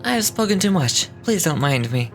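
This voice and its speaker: A woman's voice: on the low side, sing-song, fairly quick, faint music behind, pitched below the performer's own voice. A woman reading game dialogue aloud as a courteous, romantic male dreamweaver, formal and poetic in delivery.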